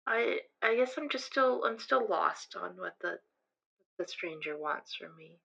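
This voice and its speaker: A woman's voice: on the low side, expressive, unhurried, vocal fry, pushed and bright, slightly rough, exaggerated voice. A young woman playing an anxious, self-doubting student in an improvised audio drama, speaking hesitantly with stammers, on a slightly dull recording. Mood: anxious, dejected. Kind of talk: improvised actual-play audio drama, conversational.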